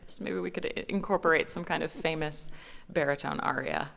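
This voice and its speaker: Tentative, slightly low-voiced woman with creaky fry. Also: fairly quick, fairly bright tone. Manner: tentative, musing. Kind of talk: casual conversation on stage. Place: large opera house stage. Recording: echoey theatre, live stage.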